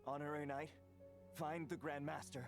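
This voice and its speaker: A man's voice: on the high side, level tone, normal speed, music in the background, natural voice. A man playing a blunt knight comrade in a fantasy game battle. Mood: exasperated, urgent.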